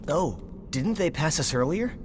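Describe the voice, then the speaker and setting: A man's voice: a little high, animated, moderate pace, slight background noise, close to an everyday voice. An actor playing a friendly, cautious young man in a video game, speaking in an earnest, considerate way. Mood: concerned, friendly. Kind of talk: video game cutscene acting. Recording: dramatic music behind the voice.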